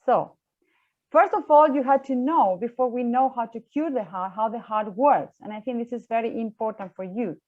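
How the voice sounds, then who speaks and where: A woman speaks haltingly to schoolchildren, with fillers and small slips. The sound is dull and lo-fi. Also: fairly high pitch. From Argentina. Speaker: researcher presenting to schoolchildren. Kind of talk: simple explanatory school talk.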